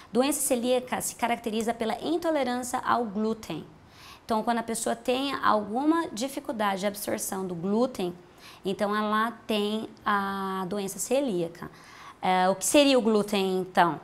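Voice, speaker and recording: A woman in her thirties with a Brazilian accent, a nutritionist explaining diet in a TV health interview, patient and plain-spoken, on a lapel mic. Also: medium pitch. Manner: explanatory, patient, uses simple comparisons. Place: bright room with large windows.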